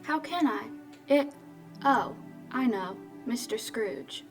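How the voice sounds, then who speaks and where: A woman playing a gentle, sorrowful young woman, speaking earnestly in an old-time radio drama. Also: fairly high pitch, animated, slow, far from the mic, soft background music. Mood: curious.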